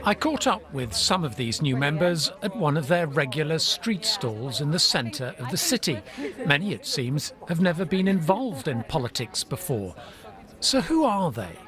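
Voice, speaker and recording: A man's voice: a little high, big pitch swings, moderate pace, a bit bright. A man working as a political correspondent, narrating a scripted news report.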